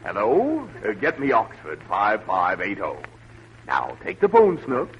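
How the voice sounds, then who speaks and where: An actor playing a fussy, earnest, officious doctor who is easily provoked, in an old radio comedy. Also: male voice, lowish voice, wildly swooping pitch, a little slow, full character voice. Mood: patient, officious. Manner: officious, indignant. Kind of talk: 1940s radio comedy acting. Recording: muffled, hissy old radio recording.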